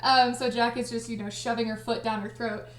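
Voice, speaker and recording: Giggly, higher-voiced woman in an echoey room. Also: a little fast. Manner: giggly, excitable, frequently breaking into laughter. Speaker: audio drama creator and cast party host. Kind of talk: casual livestream chat. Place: indoors on a group livestream call.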